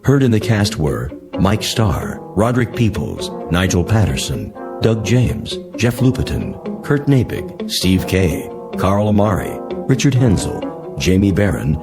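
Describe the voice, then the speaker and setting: Very deep American radio announcer man. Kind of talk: scripted credits announcement. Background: music.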